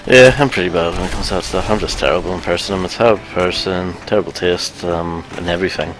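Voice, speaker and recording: A man's voice: low voice, fast, fairly bright tone, slight background noise. A man giving casual commentary as he plays a game, dry and sarcastic in short, clipped remarks. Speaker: co-host playing the game.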